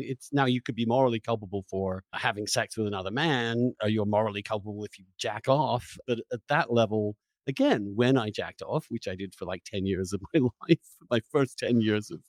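A man interviewing in a reflective long-form conversation, speaking earnestly and emphatically, often halting and repeating words. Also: medium pitch, a little mellow, dry recording.

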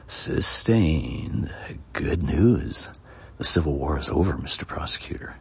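A man playing a weary, dismissive judge in a dramatic reading, dry, curt and breathy. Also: extremely low pitch, lively intonation, takes it slowly, exaggerated voice.

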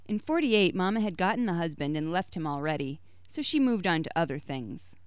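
A woman reading a short story aloud, voicing its dialogue a little higher and brighter than her narration. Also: animated, brisk, clean tone, no echo. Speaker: story reader.

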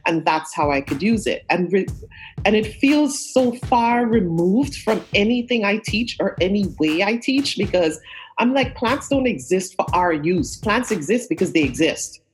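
A woman's voice: mid-range voice, lively intonation, unhurried, slight background noise. A woman from Guyana who moved to New York as a teenager, talking in an interview with an earnest, emphatic way of explaining through repetition. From Guyana, then Brooklyn, New York from age fourteen. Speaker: guest, herbalist. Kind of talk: conversational interview.

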